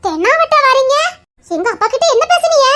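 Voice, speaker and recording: A woman's voice: extremely high pitch, very animated, a little fast, sharp tone, exaggerated voice. A woman playing a fiery young sweetheart who scolds and threatens her lover while laughing. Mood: scolding. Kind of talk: amateur romantic drama.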